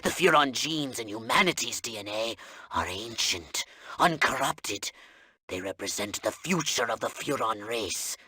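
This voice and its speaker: A man's voice: medium pitch, animated, unhurried, bright, forceful tone, slightly rough, dry recording, full character voice. A man playing a pompous, scheming elderly alien commander in a video game, speaking in a theatrical, grandly enunciated manner. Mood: grandly explaining.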